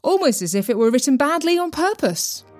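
A woman's voice: high-pitched, very animated, fairly quick, darkish tone. A woman presenting a podcast with scripted, tongue-in-cheek narration.

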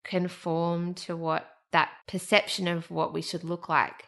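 A woman's voice: lowish voice, level tone, a little slow, vocal fry, fairly bright tone. A woman hosting a podcast, telling a personal story in a candid, emotional way. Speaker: podcast host. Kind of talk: casual chat.

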